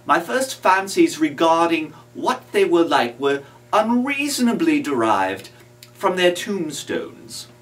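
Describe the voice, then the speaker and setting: A man giving a dramatic first-person reading of a classic novel, with theatrical, deliberate phrasing. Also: fairly high pitch, very animated, a bit bright, recorded from a distance. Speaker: reader.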